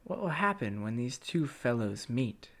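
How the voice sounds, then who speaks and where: A woman's voice: rumbling low, very animated, measured pace. A woman narrator telling a story in theatrical rhyme.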